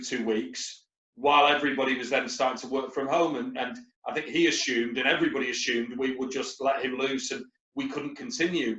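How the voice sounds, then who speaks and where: A man's voice: medium pitch, fairly bright tone, reverberant, poor audio. A man, a recruitment company leader, talking on a webinar panel in a rambling, anecdotal way with lots of fillers. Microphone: video call.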